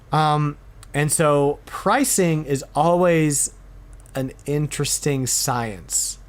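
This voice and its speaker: A man's voice: a little high, very slow, pauses often. A man hosting a podcast in a casual chat, informal and emphatic, repeating himself to make a point. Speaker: podcast host.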